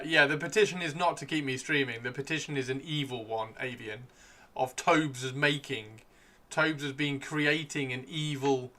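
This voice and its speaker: A man hosting a casual live stream, chatting excitably and repeating his phrases, with his voice starting to give out. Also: on the high side. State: losing his voice. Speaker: livestream host. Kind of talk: casual live stream chat while painting miniatures.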